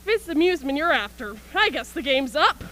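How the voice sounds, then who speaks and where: A woman's voice: high-pitched, expressive, fast, noisy background. A woman acting an embittered, manic militia soldier in a stage radio play, ranting in colloquial speech about surviving an invasion. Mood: scornful, resigned. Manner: colloquial, ranting, punctuated by scornful laughs. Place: school auxiliary gym.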